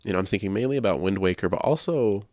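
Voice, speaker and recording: A man co-hosting a podcast, talking casually about video games in a measured, explanatory and opinionated way. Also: lowish voice, a little mellow, dry recording, studio quality. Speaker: podcast co-host.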